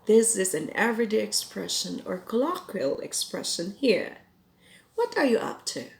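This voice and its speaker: A woman's voice: mid-range voice, very animated, vocal fry, a little mellow. A Filipino woman whose first language is Cebuano, teaching English expressions in a careful, explaining lesson. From Philippines. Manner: slow, careful explaining with quoted example phrases. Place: home in Ireland.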